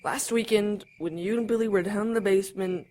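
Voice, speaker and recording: A woman's voice: mid-range voice, fairly even intonation, fairly quick, a little mellow, natural voice. A woman playing a nervous, pleading younger brother, stammering and defensive, in an audio drama.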